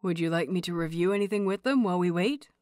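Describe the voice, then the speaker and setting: A woman voicing a playful, cheeky, falsely innocent young man in audiobook dialogue, teasing and animated. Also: fairly low pitch, quick pace, no room sound, clean studio sound, natural voice.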